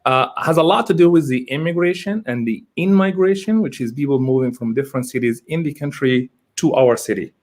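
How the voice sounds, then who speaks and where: A man's voice: medium pitch, brisk, few pauses, darkish tone. Rambling man from Egypt on a video call. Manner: rambling, self-correcting, enthusiastic. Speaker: real estate agent, guest. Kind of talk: casual live interview.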